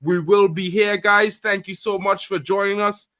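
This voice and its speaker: A high-voiced man from Trinidad runs a live fan stream, talking loudly and with lots of energy. He leans on fillers and repeats himself as he goes. His tone is bright and forceful, and the sound is close and dry. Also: normal speed, no echo. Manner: animated, loud, repeats fillers like "you know what I mean". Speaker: host. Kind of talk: casual live-stream chat.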